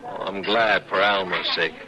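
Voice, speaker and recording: An actor playing a proud, stoic frontier rancher in a radio western, plain-spoken with a rural American accent. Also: male voice, low voice, fairly even intonation, moderate pace, pushed and bright, exaggerated voice. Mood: resigned, steady. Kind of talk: old-time radio western acting. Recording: noisy old radio recording.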